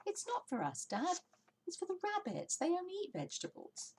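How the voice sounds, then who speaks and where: Woman playing an eager high-voiced little boy fox. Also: huge pitch swings, moderate pace, a little mellow, full character voice. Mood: eager, pleading. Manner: eager, pleading. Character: smallest young boy fox, eager, thoughtful child. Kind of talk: children's storybook character reading.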